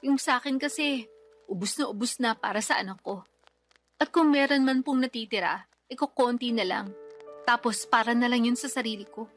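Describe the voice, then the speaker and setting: A woman plays a high-voiced young mother in a radio drama. She is weary and defensive, politely protesting as she justifies herself, with soft music underneath. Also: moderate pace, natural voice. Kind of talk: Tagalog radio drama acting.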